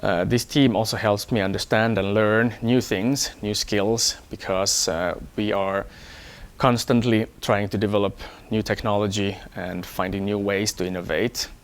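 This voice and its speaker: A man from Hong Kong, an engineer, talking about himself in a measured, explanatory way for an employee profile. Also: on the low side, fairly even intonation. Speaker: electronics engineer. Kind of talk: first-person employee profile talk.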